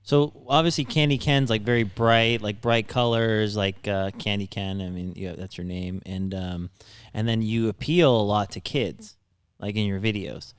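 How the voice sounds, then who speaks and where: A man co-hosting a podcast in casual chat, talking haltingly with restarts. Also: on the low side, clear, resonant tone, no echo. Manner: halting, many fillers and restarts. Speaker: podcast co-host.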